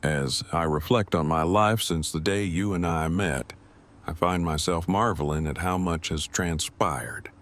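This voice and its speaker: A man playing an awkward, bookish groom in an audio drama, sincere, with stiff, measured, wordy phrasing. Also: sits low, a bit bright. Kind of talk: fan audio drama acting.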